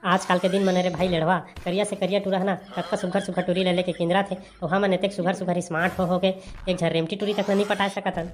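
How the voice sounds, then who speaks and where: A woman's voice: lowish voice, flat delivery, quick pace, a little hiss or hum, lightly acted. A woman voicing a brash, whiny young village lad in a comic cartoon, cheeky and bickering, with a Chhattisgarhi accent.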